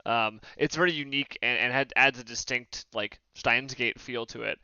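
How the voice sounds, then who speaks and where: A man's voice: medium pitch, normal speed, bright, forceful tone, clean tone, no echo, muffled recording. A man chatting casually as a podcast panelist, blunt and sardonic, with opinionated, exasperated delivery.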